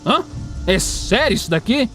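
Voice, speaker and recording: A man's voice: sits high, sing-song, normal speed, pushed and bright, faint music behind, pitched above the performer's own voice, exaggerated voice. A man voicing a brash, skeptical man who needles his companions with slangy sarcasm in an audio drama. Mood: mocking.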